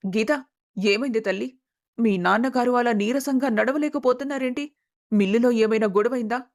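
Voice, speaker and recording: A woman's voice: fairly high pitch, moderate pace, lightly acted. A woman playing an anxious, tearful middle-aged wife and mother in a melodramatic family story. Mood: worried, fearful.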